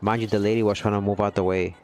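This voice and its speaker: Earnest low-voiced man rambling. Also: flat delivery, fast talker, darkish tone, a little hiss or hum. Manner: earnest and rambling, frequent "you know what I mean". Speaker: gaming livestreamer. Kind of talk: casual chat with viewers on a livestream.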